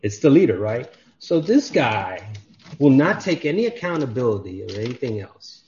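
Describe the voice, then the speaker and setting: A man's voice: medium pitch, moderate pace, a little hiss or hum. A man on a podcast, chatting casually and acting out the dialogue in his stories. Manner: animated storyteller, acts out dialogue. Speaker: podcast guest. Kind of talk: casual chat. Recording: dull lo-fi audio.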